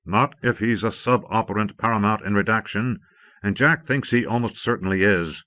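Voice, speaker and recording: Dry, muffled audiobook cassette of an imperious, impatient magnate acted out by a man narrating. He argues forcefully and articulately, with exasperation under the command. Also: fairly low pitch, moderate pace, no echo. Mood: insistent. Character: commanding, impatient magnate browbeating his younger-looking brother.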